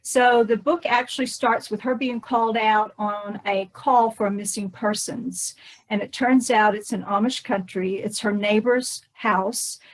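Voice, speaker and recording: A woman's voice: medium pitch, fairly even intonation, measured pace, rough recording. A woman guest author talking conversationally, explaining the story of a book.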